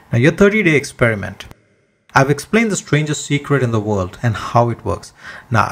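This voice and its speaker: Husky-voiced man reading motivational material. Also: medium pitch. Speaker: reader of a self-help speech. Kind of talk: motivational read-aloud.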